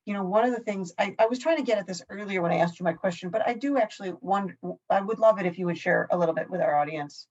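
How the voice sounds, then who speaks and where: A woman's voice: medium pitch, fast, hardly any pauses, darkish tone. A woman hosting an interview, thoughtful and searching for her words as she asks questions. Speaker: host. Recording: dull lo-fi audio.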